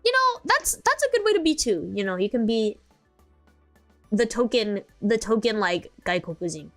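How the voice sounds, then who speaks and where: A woman's voice: fairly high pitch, very animated, moderate pace, a bit bright, soft background music. A young American woman streaming, chatting casually and sleepily, rambling with many fillers and restarts. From United States.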